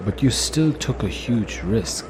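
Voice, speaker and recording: A man playing a bold, brash woman adventurer with a challenging manner in a dark fantasy audio drama. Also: lowish voice, lively intonation, a little slow, noisy background, voice pushed up. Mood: gently cautioning. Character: bold, brash woman relic-trading companion, accusatory.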